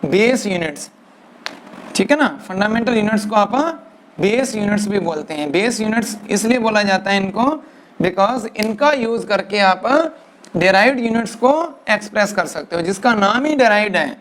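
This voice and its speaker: A man's voice: high voice, a bit bright, slight background noise. Male teacher who keeps asking "right na". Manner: mixes English into Hindi, keeps asking "right na"; dictates definitions slowly, word by word. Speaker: physics teacher. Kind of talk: classroom lecture.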